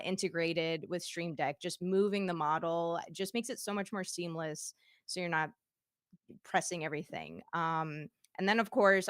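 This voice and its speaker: A woman's voice: fairly low pitch, fairly bright tone, no room sound, clean studio sound. A woman VTuber chatting casually and demoing her streaming setup, giggling often. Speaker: VTuber guest. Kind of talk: casual livestream demo chat. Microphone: streaming microphone.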